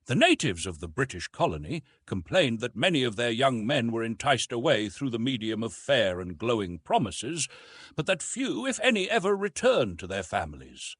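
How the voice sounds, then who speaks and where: A man reads literary narration as an audiobook storyteller. His delivery is formal and measured, with pitch that moves widely through each sentence. The voice has a slightly husky grain and sits in a very dry, close acoustic. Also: big pitch swings, a bit bright, dry recording.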